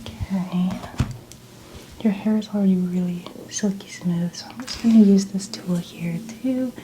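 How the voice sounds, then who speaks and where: Woman, soft-spoken ASMR, gentle and reassuring, mid pitch, faint noise behind her. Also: steady tone, a little slow. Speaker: ASMR host playing a makeup artist. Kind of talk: soft-spoken ASMR roleplay, often whispering.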